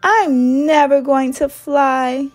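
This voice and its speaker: Give me a woman playing a determined, dreamy young hen from a children's storybook. She sounds childlike and very high, stretching her words out slowly and earnestly. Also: soft background music. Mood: discouraged. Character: determined, dreamy little girl chicken.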